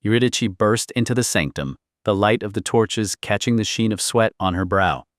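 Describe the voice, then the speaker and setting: Low-pitched man narrating a dramatic saga. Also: moderate pace, dry recording, clean studio sound. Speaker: audiobook narrator.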